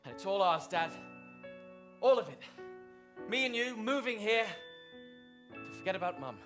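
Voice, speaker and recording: A man's voice: sits high, big pitch swings, moderate pace, fairly bright tone, faint music behind. A man playing an earnest young son in improvised comedy. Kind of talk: improvised comic stage acting.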